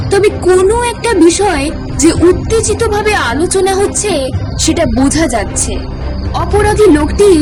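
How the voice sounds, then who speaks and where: High-voiced woman narrating a horror tale. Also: far from the mic, rough recording. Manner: hushed, suspenseful storytelling. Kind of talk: scripted horror story narration.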